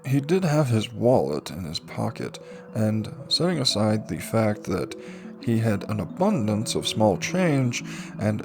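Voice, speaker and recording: A man's voice: lowish voice, vocal fry. A man reading a light novel aloud as dramatic scripted audiobook narration. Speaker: audiobook reader.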